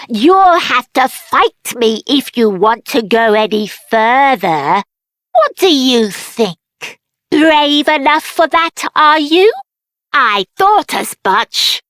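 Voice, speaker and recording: A woman voicing a plucky, boastful little gatekeeper in a video game, cocky and playful with a deliberate delivery. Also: high-pitched, fairly bright tone, dry recording, studio quality, heavily acted. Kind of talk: video game character acting.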